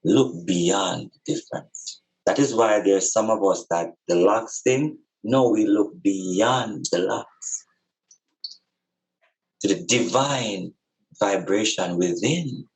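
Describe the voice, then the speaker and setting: A man's voice: lowish voice, takes it slowly, gravelly, rough recording. A man with a Jamaican accent giving a reflective spiritual talk in an emphatic, preaching manner, with repeated phrases and rhetorical questions.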